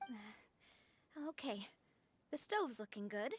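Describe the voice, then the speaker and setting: An actress playing a cheerful young female gang leader in a game, gracious and lively. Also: a little high, takes it slowly, slight background noise. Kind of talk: game voice acting.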